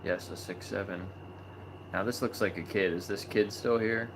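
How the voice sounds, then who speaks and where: A man with a fairly low voice hosts a live online chat. He talks in a loose, relaxed way, full of ums and small restarts. A steady noise sits under the recording. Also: moderate pace. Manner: relaxed, chatty, many fillers. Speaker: host of a live video chat about animal rights. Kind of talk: casual livestream chat.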